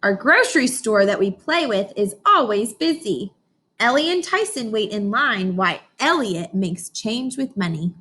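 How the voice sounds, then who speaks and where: A woman teacher reading a picture book aloud to young children, expressive, often pausing to question her listeners directly. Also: mid-range voice, slightly rough. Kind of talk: informational read-aloud lesson for young children.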